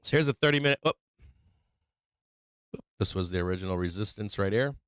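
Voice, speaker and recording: A man's voice: lowish voice, expressive, brisk, fairly bright tone, smooth, no echo, clean studio sound. A man who is losing his voice from being sick, hosting a casual stock-trading livestream, thinking aloud over charts and tossing in rhetorical "right?"s. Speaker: stock market livestream host.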